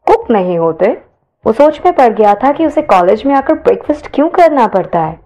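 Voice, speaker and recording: A woman's voice: high voice, mellow. A woman narrator reading a scripted audio story.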